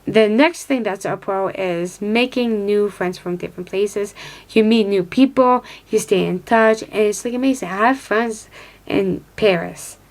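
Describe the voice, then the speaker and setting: A young American woman in her twenties talks casually to her viewers, rambling and piling up fillers as she loses and finds her thread. Her voice sits at an ordinary middle pitch, and she glances down at notes as she goes. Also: unhurried, low-quality recording. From upstate New York, United States. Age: 20s. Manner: rambling, many fillers and restarts; reads from notes, looking down. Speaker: vlogger. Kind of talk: casual talk to camera.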